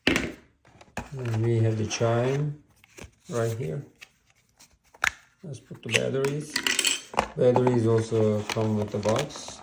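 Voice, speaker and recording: A man's voice: medium pitch, slow pace, gravelly, pauses often, very noisy. A man giving a casual product demonstration as a reviewer, in plain, step-by-step short phrases.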